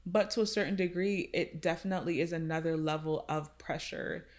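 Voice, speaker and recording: A woman with a slightly low voice speaks earnestly in a relaxed podcast conversation. She reflects on her work as a counselor and often pauses to check in with a quick "right?". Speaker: counselor specializing in racial identity. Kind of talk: casual podcast discussion.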